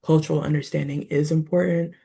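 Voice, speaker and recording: A woman guest on a podcast, talking in a relaxed, easygoing way. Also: deep voice, brisk, creaky, slightly rough. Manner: relaxed, laughing easily. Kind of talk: casual podcast chat.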